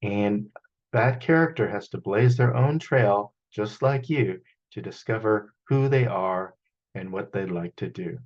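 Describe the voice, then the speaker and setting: Expressive male storyteller, muffled lo-fi sound. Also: fairly low pitch, unhurried. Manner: expressive, acts out sound effects. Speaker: children's book author and storyteller. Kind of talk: animated picture book read-aloud.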